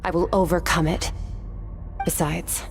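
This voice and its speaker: A stoic young swordswoman speaks in a fairly low voice over faint music. She is composed, firm and analytical, in the manner of a game cinematic. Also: flat delivery, a little slow. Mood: resolute. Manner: composed, firm, matter-of-fact. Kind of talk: English video game cinematic acting.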